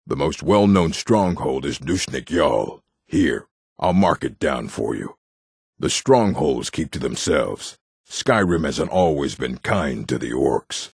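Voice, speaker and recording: Very deep, gravelly orc warrior. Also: male voice, no echo, studio quality, full character voice. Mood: solemn, matter-of-fact. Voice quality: gravelly, husky. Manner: terse, blunt, solemn delivery. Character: gruff, proud adult male orc warrior. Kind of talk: fantasy video game character lines.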